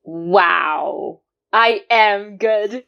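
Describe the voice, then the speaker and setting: A woman's voice: mid-range voice, very slow, creaky, smooth, highs cut off. A woman with an English accent playing a cheeky, cocky eleven-year-old boy detective in a student radio play.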